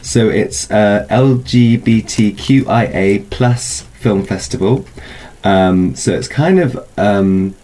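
A man's voice: fairly low pitch, takes it slowly, warm tone, poor audio. A man speaking as an event organiser in an informal interview, explaining things in a loose, hedging way. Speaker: film festival organiser, interview guest.

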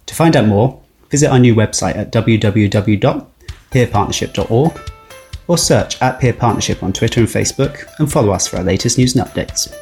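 A man's voice: on the low side, lively intonation, fairly quick, a little mellow, faint music behind. A man delivering a scripted promotional announcement as a spokesperson for a charity.

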